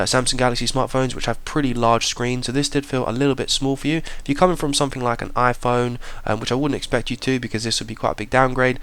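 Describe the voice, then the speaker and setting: Male tech reviewer, mid pitch, clean smooth tone, steady explanatory voice-over. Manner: plain, measured, explanatory. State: slight cold.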